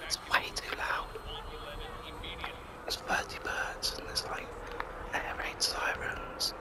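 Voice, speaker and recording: Whispering man, mock ASMR. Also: slow. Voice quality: whispery, breathy. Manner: deadpan, bumbling, easily annoyed. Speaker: gaming video host.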